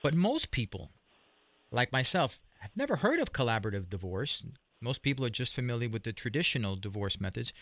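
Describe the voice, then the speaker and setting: A man's voice: mid-range voice, sing-song, a little fast, a bit bright, no room sound. A man hosting an interview show, asking long, hesitant questions full of restarts. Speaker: show host.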